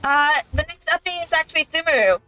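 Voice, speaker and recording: A woman's voice: very high-pitched, very animated, quick pace, pushed and bright, faint music behind, poor audio. A young adult woman chatting casually over a game, eagerly explaining details as a co-host. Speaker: gaming co-host. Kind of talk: casual gameplay chat.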